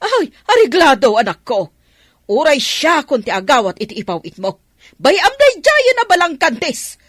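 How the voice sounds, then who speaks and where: A woman's voice: high-pitched, wildly swooping pitch, normal speed, a bit bright, dry recording, clean studio sound, heavily acted. A woman playing a scheming, gambling grandmother in a radio drama, talking in a sly, gossipy way. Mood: gossiping.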